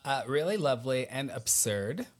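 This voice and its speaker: A man's voice: medium pitch, big pitch swings, moderate pace. A man hosting a podcast in casual interview style, searching for his words as he talks.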